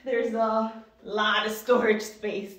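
A woman's voice: a little high, little pitch movement, slow, a bit bright, far from the mic. Chatty woman in echoey empty rooms. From New York. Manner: chatty, rambling with many ums and likes. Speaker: vlogger giving a home tour. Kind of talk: casual walking house tour. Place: indoors, sparsely furnished new house. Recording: echoey bare rooms, voice off-mic.

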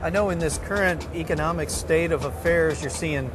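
A man's voice: fairly high pitch, moderate pace, background noise. A man hosting a motorsport TV show, giving a presenter-style intro and asking interview questions at a trade show. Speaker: TV show host. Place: indoor trade show hall. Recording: music bed under voice.

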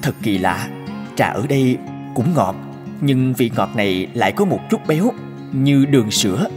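A man's voice: mid-range voice, animated, normal speed, music in the background, natural voice. Earnest young teahouse owner played by a man. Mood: thoughtful. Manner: respectful, explanatory. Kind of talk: Vietnamese cartoon dub.

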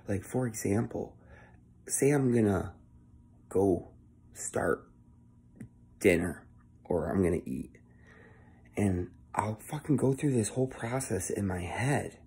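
A young man giving a casual motivational monologue into his phone at home, keeping his voice low and swearing freely. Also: animated, slow, gravelly, pauses often, dark tone, slightly rough. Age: young adult. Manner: talking low and swearing often, earnest. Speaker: vlogger. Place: indoor room at home. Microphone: phone held close at arm's length.

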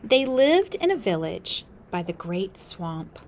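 A woman in her thirties reads a storybook aloud at a slow, deliberate pace, often sinking into hushed whispers. Her voice sits in a middle range, caught close on a camera mic in an indoor room. A faint hiss runs underneath. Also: medium pitch. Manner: slow, expressive, dips to whispers. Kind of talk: reading a picture book aloud.

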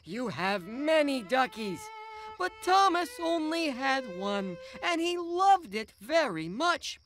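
A wise old prophet, stern and solemn. The delivery is slow, with big swoops of pitch, in cartoon parable style over clear music. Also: male voice, very animated, fairly bright tone, exaggerated voice. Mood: reproving. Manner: solemn, emphatic, deliberate. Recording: music under the voice.

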